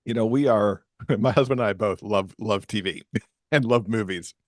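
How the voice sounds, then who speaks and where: A man's voice: fairly low pitch, normal speed, no echo, studio quality. A man chatting casually as a podcast guest, talkative and easy to laugh. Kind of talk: casual conversational interview.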